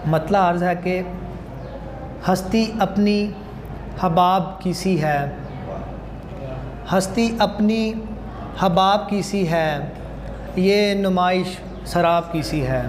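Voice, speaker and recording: A male student reciting poetry in a measured, declamatory way. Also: high-pitched. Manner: measured, repeating lines declamatorily. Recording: very echoey hall, clear background noise.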